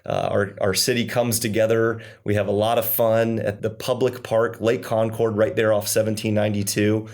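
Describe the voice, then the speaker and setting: A man's voice: on the low side, level tone, normal speed, hardly any pauses, darkish tone. A man co-hosting a local community podcast, upbeat and promotional, with fillers and restarts. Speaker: podcast co-host.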